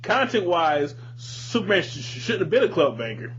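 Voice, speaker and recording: High-pitched, animated man talking bluntly. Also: normal speed, highs cut off, a little hiss or hum. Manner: blunt, emphatic, profane. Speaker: podcast co-host. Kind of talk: casual opinionated chat.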